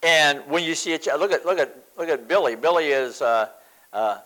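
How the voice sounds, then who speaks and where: A man's voice: a little high, expressive, normal speed, bright, forceful tone, low-quality recording. A man in his seventies preaching a sermon, emphatic, repeating phrases for stress. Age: 70s.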